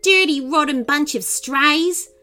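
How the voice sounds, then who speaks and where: A woman's voice: medium pitch, sing-song, normal speed, faint music behind, close to an everyday voice. A woman voicing a mean, bossy, hot-tempered woman robber in a storybook, snapping shrilly and scornfully. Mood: hostile.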